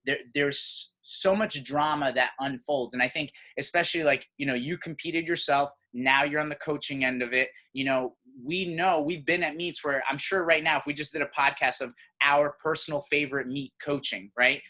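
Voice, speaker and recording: A man from Serbia hosting a podcast, talking in an animated, conversational way. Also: a little high, moderate pace, hardly any pauses. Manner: animated, conversational, says "you know" often. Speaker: podcast host.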